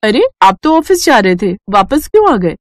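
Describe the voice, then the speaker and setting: A woman's voice: mid-range voice, moderate pace, a little hiss or hum, natural voice. A woman playing a discontented young wife in a cartoon story, nagging and pleading expressively. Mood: exasperated. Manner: complaining, expressive. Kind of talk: cartoon moral story acting.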